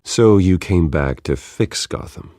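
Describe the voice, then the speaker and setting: Very deep, guarded hero. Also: male voice, expressive, dark tone, no room sound. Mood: dry, probing. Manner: measured, serious, dry. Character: guarded, composed adult male billionaire vigilante. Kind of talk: restrained video game acting.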